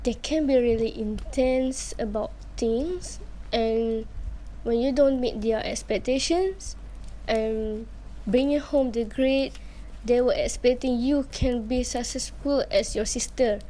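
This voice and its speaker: Woman acting a slow, mellow, weary young daughter. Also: fairly high pitch, low-quality recording. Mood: troubled. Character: weary, hurt daughter belittled by her parents. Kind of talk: amateur radio drama acting.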